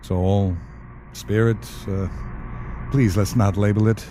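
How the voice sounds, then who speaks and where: An actor in an audio play as a calm, wry government specialist, speaking in a measured, matter-of-fact and dryly polite way. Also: male voice, lowish voice, background noise. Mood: patient explaining. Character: calm, wry male government specialist who questions the dead. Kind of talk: audio drama acting.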